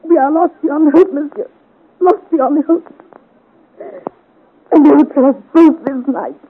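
A woman's voice: high voice, a little slow. An actress playing a frightened but resolute young woman in a melodramatic, urgent 1950s radio serial style. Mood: panicked, despairing. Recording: scratchy, muffled 1950s radio recording.

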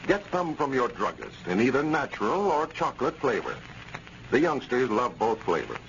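Animated 1930s male radio announcer. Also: mid-range voice, normal speed. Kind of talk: 1930s radio announcing, scripted sponsor pitch. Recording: scratchy, muffled 1930s radio recording.

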